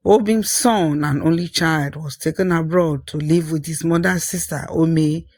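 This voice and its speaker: A storyteller narrating a scripted folktale, phrasing things deliberately and repeating for emphasis. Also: mid-range voice, steady tone, darkish tone, dry recording.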